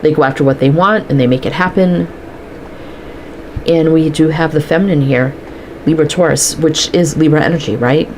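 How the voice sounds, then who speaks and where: Stuffy-nosed woman, low pitch, chatty tarot reader talking through her cards. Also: moderate pace, a little mellow, slight background noise, rough recording. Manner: chatty, thinks aloud while pulling cards. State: stuffy from allergies, sniffling. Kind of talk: casual tarot card reading to camera.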